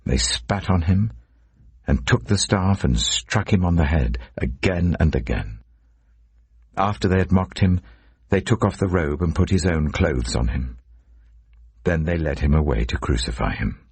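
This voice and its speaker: Male narrator for dramatic scripture audiobook: extremely low, husky voice with animated pitch movement. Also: a little slow, no echo.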